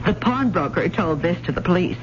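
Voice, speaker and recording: An actress playing an inquisitive young college student in an old-time radio drama, stammering, tentative and polite. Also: female voice, fairly low pitch, expressive, fairly quick, lightly acted. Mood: nervous, probing. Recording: dull, faintly noisy old radio recording.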